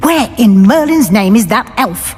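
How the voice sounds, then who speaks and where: A woman playing a brisk, kindly professor of magic in a video game, with an articulate, instructive way of talking. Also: a little high, lively intonation, a little mellow, soft background music. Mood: surprised, wistful. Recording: hall reverb, background ambient noise.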